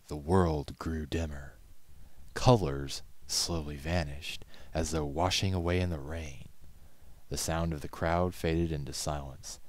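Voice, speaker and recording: A man reading a story aloud as narrator, in a measured, solemn, dramatic audiobook style. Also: rumbling low, no echo.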